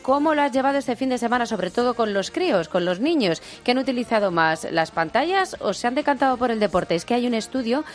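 A woman's voice: on the high side, animated, quick pace, few pauses, faint music behind. A woman on a radio show, chattily presenting listener messages and a study. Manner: chatty, explanatory. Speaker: radio social media contributor. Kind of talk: casual radio chat.

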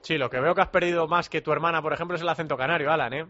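A man's voice: a little high, steady tone, rapid-fire, bright, forceful tone, lo-fi sound. A Spanish man hosting a radio sports show, jokey and teasing with his guest.

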